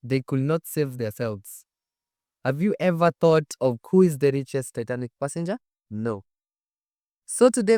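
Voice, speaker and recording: A man's voice: fairly high pitch, darkish tone, no room sound. Kenyan man telling stories, dry close sound. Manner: emphatic, repeats phrases, rhetorical questions. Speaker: host, storyteller. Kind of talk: animated conversational storytelling to camera. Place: studio set.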